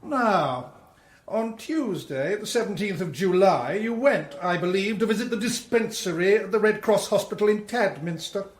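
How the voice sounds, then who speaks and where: An actor playing a pompous, relentless English barrister in a radio drama, cross-examining in an imperious, precise, theatrically deliberate way. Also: male voice, high voice, expressive. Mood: badgering. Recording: roomy courtroom-like echo.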